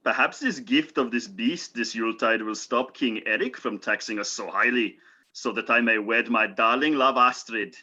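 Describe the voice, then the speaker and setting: A man's voice: medium pitch, normal speed, sharp tone, dull audio. A man playing an earnest, brave medieval Norseman in an amateur radio play, speaking in mock-archaic, declamatory phrases. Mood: solemn, hopeful.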